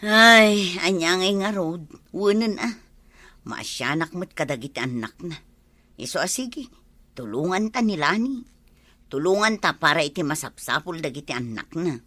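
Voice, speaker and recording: Actress doing excitable nosy village wife, bright sharp voice, animated, comedy drama, dry sound. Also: female voice, on the low side, normal speed, no room sound. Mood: sympathetic. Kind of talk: Ilocano comedy drama acting.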